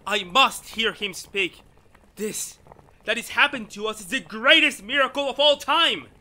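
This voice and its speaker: An actor playing a frightened, awestruck man in a retro radio drama, questioning haltingly with melodramatic intensity. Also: very high voice, sharp tone, exaggerated voice. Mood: astonished.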